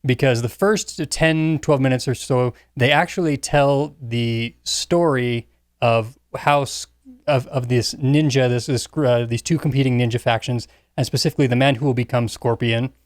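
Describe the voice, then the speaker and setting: A man co-hosting a casual film discussion, piecing his thoughts together with pauses. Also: medium pitch, fairly even intonation, no room sound, clean studio sound. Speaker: podcast co-host.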